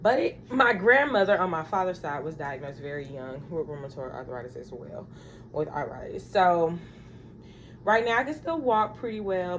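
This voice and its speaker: A woman in her thirties chatting casually to her vlog viewers in a rambling, confiding way, calling them y'all. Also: on the low side. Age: 30s. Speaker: vlogger. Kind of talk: casual chat to camera. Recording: faint background noise.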